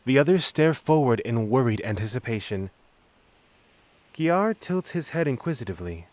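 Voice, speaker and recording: A male narrator reads a scripted fantasy story. His voice sits at a middle pitch. The recording is very dry, with hardly any room sound. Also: animated, moderate pace, a little mellow, no echo.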